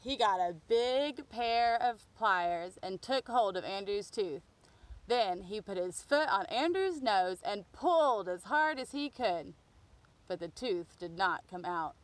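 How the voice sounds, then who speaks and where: A woman's voice: medium pitch, lively intonation, slow pace, rough recording. A woman reading a picture book aloud expressively for young children. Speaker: children's storybook reader.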